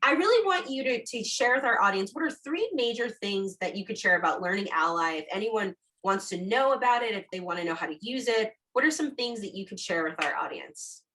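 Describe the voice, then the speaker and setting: Bright-voiced woman interviewer. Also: medium pitch, animated, a little fast, hardly any pauses, low-quality recording. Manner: encouraging, affirming interjections. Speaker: podcast host.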